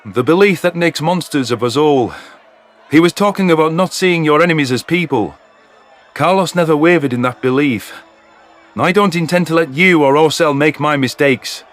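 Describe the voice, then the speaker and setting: A man voicing a remorseful older spiny alien, earnest and measured, gently defending others. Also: fairly high pitch, close to an everyday voice. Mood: earnest, regretful.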